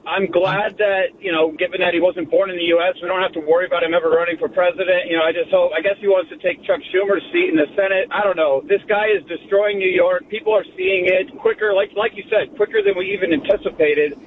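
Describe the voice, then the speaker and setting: High-voiced man calling in by phone. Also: fast talker, few pauses. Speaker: caller. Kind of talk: phone-in call. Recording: tinny phone line with faint noise.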